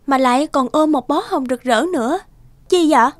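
A woman voicing a high-pitched, cheerful cartoon teacher. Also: normal speed, faint music behind, lightly acted. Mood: surprised, teasing. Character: cheerful, articulate young female teacher. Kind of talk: animated dubbed drama.

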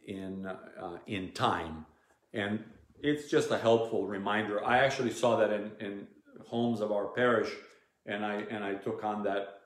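A Serbian-accented male priest in his forties speaks earnestly and plainly to his flock, pausing often on ums and restarting his sentences. His voice sits at a medium pitch. A hard living room adds a noticeable echo around him. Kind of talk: informal teaching to parishioners. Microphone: device camera at close range. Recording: echoey room.

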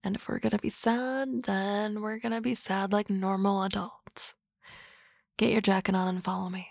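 A woman voicing a sardonic, teasing office-lady boss in an ASMR roleplay, with dry banter and soft whispery asides on a close mic. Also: medium pitch, level tone, normal speed, gravelly, no room sound.

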